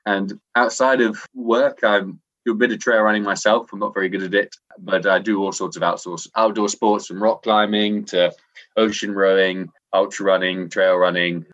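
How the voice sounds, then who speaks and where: A man's voice: on the low side, fairly even intonation, moderate pace, a bit bright, dull audio. A man from Scotland with a faintly English-sounding accent, chatting enthusiastically as an interview guest over an internet call. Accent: English-sounding Scottish. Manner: chatty, enthusiastic, frequent fillers. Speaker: interview guest, sports editor.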